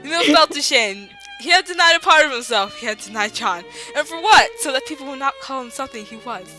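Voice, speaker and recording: A woman's voice: high-pitched, lively intonation, quick pace, pushed and bright. A young woman giving a giggly, melodramatic reading of fan fiction, swearing now and then. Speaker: reader. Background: faint classical music.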